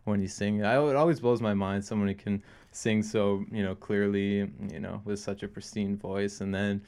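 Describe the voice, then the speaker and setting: A man's voice: fairly low pitch, flat delivery, normal speed, darkish tone. A young man from Canada co-hosting a student radio show, talking loosely with lots of fillers. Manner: loose and chatty, many "you know" fillers. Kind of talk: casual radio chat.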